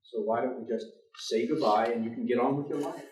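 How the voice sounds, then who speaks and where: A man's voice: medium pitch, fairly even intonation, brisk, a little mellow, natural voice. An actor playing a bleak, world-weary male professor in a staged dramatic reading, formal, articulate and fatalistic. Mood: weary, polite. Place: theatre stage. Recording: echoey theatre, distant microphone.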